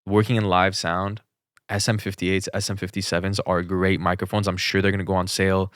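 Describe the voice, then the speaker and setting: A man's voice: low-pitched, level tone, brisk, fairly bright tone, clean tone, no echo, studio quality. A man co-hosting a podcast in casual conversation, speaking in a blunt, opinionated way. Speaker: podcast co-host. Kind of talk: casual chat.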